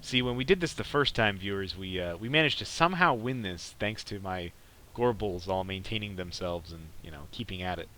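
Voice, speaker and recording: A man's voice: deep voice, lively intonation, fairly quick, pushed and bright. A man casually commentating on gameplay as a podcast host, in a rambling, hesitant way. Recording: clearly noisy background behind voice.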